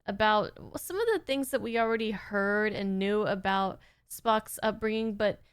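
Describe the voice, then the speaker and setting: A woman's voice: mid-range voice, normal speed, smooth, no room sound, clean studio sound. A woman hosting a reaction video, chatting casually in an appreciative, thoughtful manner. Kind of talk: casual, reflective chat.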